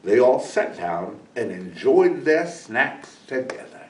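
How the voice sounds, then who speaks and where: Slow, expressive male storyteller in echoey room. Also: mid-range voice. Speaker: guest storyteller. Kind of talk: scripted children's story narration read aloud. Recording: roomy echo, distant microphone.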